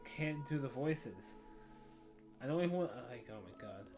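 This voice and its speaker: Chatty man over loud game music. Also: mid-range voice, animated, moderate pace. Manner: chatty, laughs often, rambling asides. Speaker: let's play streamer. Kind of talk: casual gameplay commentary.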